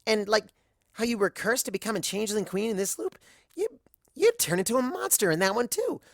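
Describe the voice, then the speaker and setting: A narrator voicing a bubbly, excitable young female cartoon pony who gushes and rambles. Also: medium pitch, fairly quick, no room sound, clean studio sound, pitched above the performer's own voice. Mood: excited, rambling. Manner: gushing, exclaiming, rambling.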